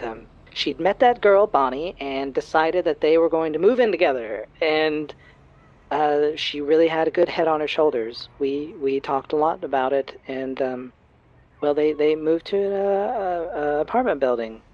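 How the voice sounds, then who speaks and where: A woman's voice: low voice, a little slow, highs cut off. A woman playing a proud, trusting middle-aged mother in a tabletop audio drama, chatting politely in a hesitant, rambling way. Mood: proud, wistful.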